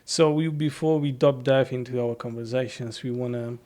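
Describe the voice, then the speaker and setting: A man's voice: mid-range voice, steady tone, moderate pace, darkish tone. A man hosting a podcast interview in an office, friendly, with loose phrasing and frequent laughs. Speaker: podcast host.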